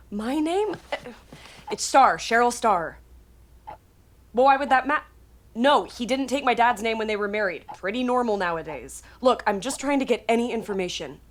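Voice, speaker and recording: Grown daughter played by a woman, earnest and anxious, higher-pitched, casual naturalistic drama dialogue. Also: close to an everyday voice.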